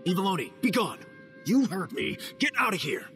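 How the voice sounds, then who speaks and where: A yelling villager scolding an oni. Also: male voice, high-pitched, sing-song, moderate pace, big-room sound, soft background music.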